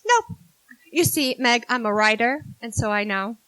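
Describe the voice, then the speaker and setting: A woman's voice: a little high, sing-song, a little slow, fairly bright tone, clean tone, dry recording, rough recording. A woman acting a spirited, blunt young writer sister in a 1940s-style radio drama, brash and dramatic. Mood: teasing, insistent. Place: live stage in a theater.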